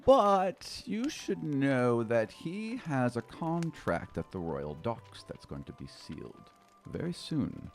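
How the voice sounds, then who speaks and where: A man plays an elegant noblewoman in a tabletop roleplaying game. The voice is lively in pitch, indulgent and commanding, as if every favor is part of a scheme. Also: takes it slowly, faint music behind. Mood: coy, commanding. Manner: smooth, indulgent, doting endearments.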